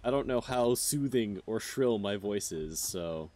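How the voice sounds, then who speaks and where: A man's voice: on the low side, expressive, unhurried, a little mellow. A man in his thirties streaming a game, chatting casually with his viewers and rambling with lots of fillers. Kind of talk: casual chat with chat while gaming.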